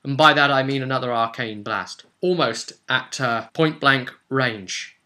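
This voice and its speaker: Forceful, bright-voiced man narrating fantasy combat. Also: mid-range voice, unhurried. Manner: theatrical, with short punchy phrases. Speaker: game master. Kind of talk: dramatic narration of a tabletop role-play.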